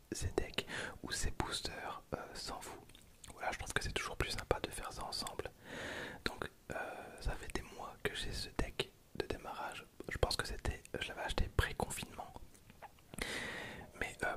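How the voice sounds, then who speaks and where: An ASMR host speaking in a soft, breathy whisper, chatting casually and informally to viewers in an unscripted, rambling way. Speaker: host of an ASMR card-opening video channel.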